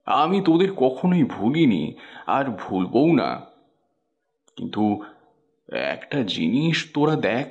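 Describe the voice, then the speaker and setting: Man acting kindly village stallkeeper uncle, cartoon story, mid-pitched, animated, earnest, emotive. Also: dull audio.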